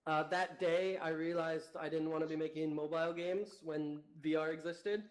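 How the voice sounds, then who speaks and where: A young man from Canada, guesting on a talk show by remote link, chatty and quick to laugh. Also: fairly high pitch, steady tone. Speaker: guest, company founder. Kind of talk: casual interview chat. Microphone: remote call.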